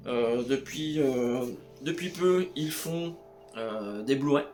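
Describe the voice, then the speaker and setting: A man's voice: medium pitch, takes it slowly, a little mellow, faint music behind. A man talking casually to camera about his home cinema purchases, hesitating often and speaking straight to the viewer. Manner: hesitant, full of "euh" fillers, addresses viewer as "tu". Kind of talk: casual unscripted chat to camera.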